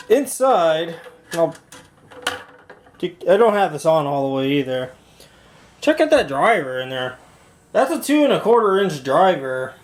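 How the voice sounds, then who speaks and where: Higher-voiced man giving a casual gear demo. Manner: informal, rambling, self-correcting. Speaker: video maker reviewing audio gear. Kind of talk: casual hands-on product demo vlog.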